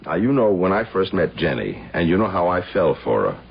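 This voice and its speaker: A man's voice: low-pitched, big pitch swings, brisk, creaky, full character voice. An actor playing a blunt man who takes charge, in a radio mystery drama. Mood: probing. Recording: dull, slightly noisy old radio broadcast.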